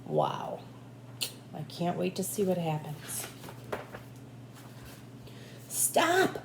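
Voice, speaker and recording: A woman teacher reading a picture book aloud in an animated way, with asides to young listeners. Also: on the low side, takes it slowly, creaky. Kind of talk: expressive children's read-aloud with asides to listeners. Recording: echoey room with faint background noise.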